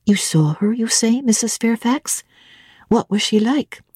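A woman's voice: on the high side, animated, a little slow, a little mellow, breathy edge, no echo, clean studio sound, voice pushed up. A woman voicing an earnest young governess in a classic audiobook, politely inquisitive and eager with her questions. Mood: curious. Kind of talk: dramatic audiobook reading of classic literature.

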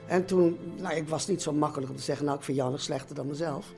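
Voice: medium pitch, fast talker, gravelly, warm tone, faint music behind. A person telling anecdotes in an interview in a hesitant way, acting out quoted exchanges.

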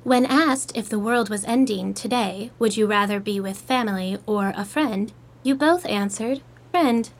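A polite female AI assistant from an audio drama. The voice sits at a middle pitch and speaks formally and impersonally, like an automated moderator that keeps to its rules. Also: measured pace. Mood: polite, neutral. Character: polite, rule-bound female AI assistant in a social app. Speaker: virtual assistant and game moderator. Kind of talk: scripted audio drama, automated announcer delivery.

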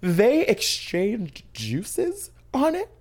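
A woman's voice: medium pitch, huge pitch swings, extremely slow, a little mellow. A woman in her twenties co-hosting a podcast, chatting in a brash, swearing, comedic way. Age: 20s. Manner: brash, swears a lot, goes dramatic and squealy for laughs. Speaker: podcast co-host. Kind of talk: casual comedic chat.

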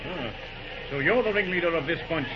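An actor playing a stubborn, haughty railroad boss in an old radio drama, speaking in clipped, indignant phrases. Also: male voice, on the high side, a little fast, very noisy, close to an everyday voice. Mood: scornful. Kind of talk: old-time radio western drama acting. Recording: muffled old radio recording, crowd behind.

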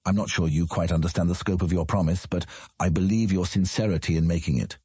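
Stern proud ruler, formal, commanding, husky voice, dry close sound, fantasy audio drama. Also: male voice, sits low, fairly even intonation, quick pace, mellow, no room sound. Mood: stern, measured. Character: proud, measured ruler of an outland city on his throne.

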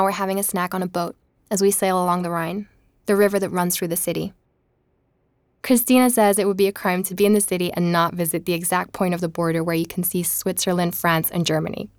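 An actress playing a curious, skeptical woman investigator in an audio drama, speaking in a measured, cautious way. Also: fairly low pitch, fairly even intonation, no room sound, studio quality, lightly acted. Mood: reflective narration. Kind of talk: audio drama narration and naturalistic dialogue.

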